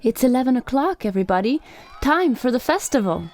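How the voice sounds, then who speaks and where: A woman's voice: sits high, big pitch swings, fairly quick, darkish tone. An actress playing a courteous, gracious Japanese innkeeper woman in a radio play, polite and attentive. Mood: announcing.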